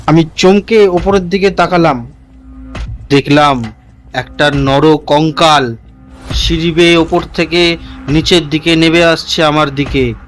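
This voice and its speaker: A man's voice: on the high side, fairly even intonation, slow, long pauses, fairly bright tone, soft background music. A man narrating a scripted first-person story for a cartoon as its storyteller.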